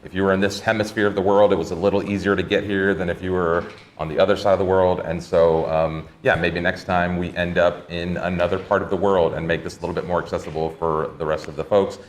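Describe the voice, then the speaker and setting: A man with a very deep voice hosts a live stream, chatting loosely and reading viewer comments aloud. He talks in an easygoing, rambling way, and faint noise sits behind him. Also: level tone, quick pace. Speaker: host. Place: indoor co-working space.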